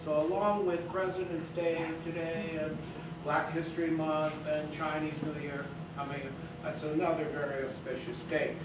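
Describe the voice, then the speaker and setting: A woman's voice: low-pitched, flat delivery, moderate pace. A woman presenting a live event, introducing it informally to an audience. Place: small theater, live event. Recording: echoey theater, distant mic, noisy.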